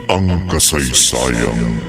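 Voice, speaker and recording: An ominous horror radio announcer with an extremely deep, gravelly voice. He draws out his words very slowly in dramatic narration, over loud music. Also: animated, exaggerated voice.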